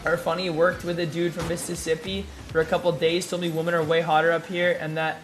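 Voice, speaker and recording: A man's voice: a little high, level tone, fairly quick, soft background music. A young man streaming live, chatting casually with his viewers and reading their messages aloud, in a laid-back, filler-heavy way. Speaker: gaming streamer.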